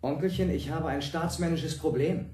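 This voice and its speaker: Radio role: male actor as scheming young emperor, soft dark tone, clipped lines, roomy echo. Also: on the high side, moderate pace, close to an everyday voice. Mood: cajoling. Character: young emperor bargaining like a wheedling nephew. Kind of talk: German radio play acting. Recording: echoey, reverberant room.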